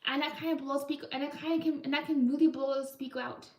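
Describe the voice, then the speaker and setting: A young woman hosting a live art stream, chatting casually and rambling with many restarts in a high-pitched voice. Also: flat delivery, fast talker, a little mellow. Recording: roomy echo, mic far off.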